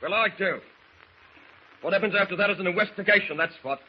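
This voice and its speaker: An actor playing a nervy, argumentative mutinous sailor with a rough, husky voice in an old radio drama. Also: male voice, high voice, brisk. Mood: nervy, defiant. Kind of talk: 1940s radio drama acting. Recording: muffled old radio recording.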